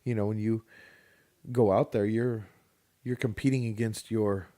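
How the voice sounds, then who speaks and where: A man co-hosting a podcast in casual chat, opinionated and thinking out loud with fillers. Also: on the low side, fairly even intonation, measured pace, dry recording. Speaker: podcast co-host.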